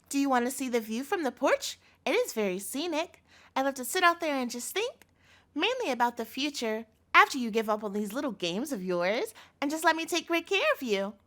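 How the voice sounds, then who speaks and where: Yandere girlfriend, played by a woman, audio roleplay. Cloying, controlling devotion; high-pitched, very animated delivery, pleading and doting. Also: moderate pace. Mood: sugary, evasive. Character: sweetly possessive yandere girlfriend, a doting chef captor.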